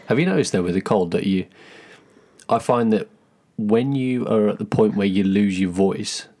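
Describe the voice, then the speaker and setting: A man's voice: lowish voice, normal speed, dark tone. A man co-hosting a podcast in casual chat, easygoing and teasing, laughing readily. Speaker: podcast co-host.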